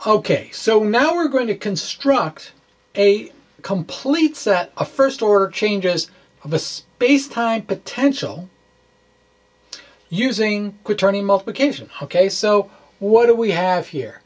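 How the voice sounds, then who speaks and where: A man giving an informal explanatory lecture in a chatty, folksy way, with wry asides and a halting delivery. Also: sits high, expressive. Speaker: physics blogger presenting a lesson. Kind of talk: informal explanatory lecture, dramatic blog reading.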